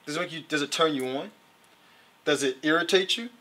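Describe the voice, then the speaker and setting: A man's voice: a little high, a little fast. A man hosting a casual talk show, teasing his guest and asking frank questions. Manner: playful, teasing, jumps to loud impressions of friends.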